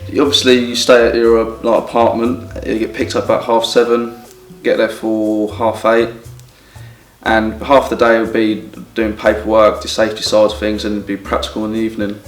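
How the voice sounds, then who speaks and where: A man's voice: lowish voice, monotone, moderate pace. A man in his twenties with an English accent, an apprentice describing his training in an easygoing, rambling way. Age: 20s. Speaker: groundworks apprentice. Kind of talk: casual interview answers. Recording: distant, roomy, with music.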